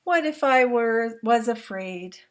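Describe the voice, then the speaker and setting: A woman's voice: a little high, expressive, slow pace, voice pushed up. A woman voicing a young boy who eagerly asks his father question after question, read aloud from a picture book. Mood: pleading. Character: young Maasai boy, curious and anxious.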